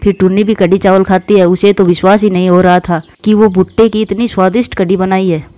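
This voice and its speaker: A woman's voice: medium pitch, fairly even intonation, brisk, dark tone. A woman narrator reading a scripted moral story for children.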